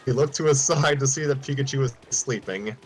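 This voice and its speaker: A man's voice: mid-range voice, fairly quick, faint music behind. A man reading a fan story aloud, often stumbling and restarting lines.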